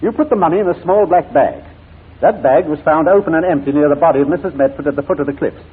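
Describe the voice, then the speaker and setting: An actor playing a relentless, theatrical defense barrister who questions formally and insistently in a 1940s radio courtroom drama. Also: male voice, fairly high pitch, quick pace, breathy edge. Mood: pressing, insistent. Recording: muffled, narrow-band 1940s radio broadcast.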